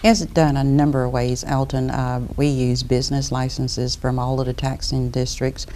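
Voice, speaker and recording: A woman's voice: rumbling low, level tone, moderate pace, dark tone, a little hiss or hum. A middle-aged woman from Florida, a property appraiser explaining her work in a studio interview.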